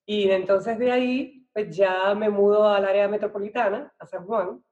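A woman's voice: mid-range voice, steady tone, moderate pace, a little mellow. Chatty Puerto Rican woman, slightly dull recording. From Puerto Rico. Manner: chatty, peppers speech with English business terms. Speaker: podcast guest, real estate and banking professional. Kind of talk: conversational personal storytelling.

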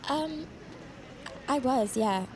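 A woman's voice: high-pitched, animated, drawn-out words, noisy background, full character voice. An actress playing an evasive, uneasy teenage girl who talks hesitantly and stammers, in naturalistic audio drama. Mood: awkward, evasive. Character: evasive, uneasy teenage girl, incoming high school freshman.

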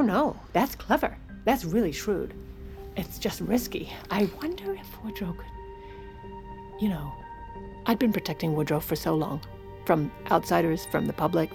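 A shrewd First Lady in an audio drama, with a fairly low voice. She is wry and commanding, confiding like someone used to managing powerful men. Music plays clearly behind her. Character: shrewd, dry, protective First Lady narrating her own story. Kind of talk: scripted audio drama narration and dialogue.

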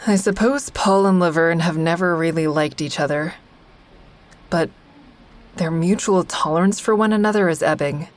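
A woman's voice: fairly low pitch, moderate pace, lightly acted. A woman acting as the wry, observant first-person narrator of an audio drama, a member of a support group. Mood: wry, uneasy. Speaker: narrator and character.

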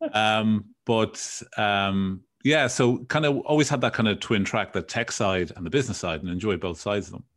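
Very deep-voiced Dublin man around forty. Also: very animated, normal speed. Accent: Dublin Irish. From Dublin, Ireland. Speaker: entrepreneur podcast guest. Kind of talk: casual autobiographical interview talk.

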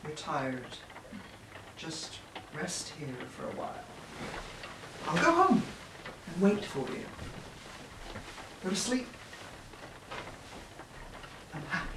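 A woman's voice: low-pitched, wildly swooping pitch, exaggerated voice. A woman playing a doting, lovestruck spouse in an audio drama, speaking tenderly and insistently with effusive endearments. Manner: slow, repetitive, effusive endearments. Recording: roomy, distant, noisy audio play recording.

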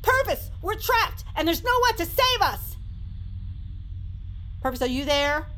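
A woman's voice: very high-pitched, sing-song, a little slow, bright, forceful tone, slight background noise, full character voice. A woman playing an overwrought, easily flustered damsel in distress in a parody radio serial.

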